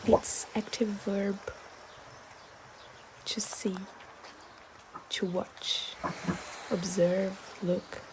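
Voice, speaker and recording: Soft-spoken woman narrating over birdsong. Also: mid-range voice, fairly even intonation, a little hiss or hum, rough recording. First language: Portuguese. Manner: slow, soft, partly whispered. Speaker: artist narrating her video work.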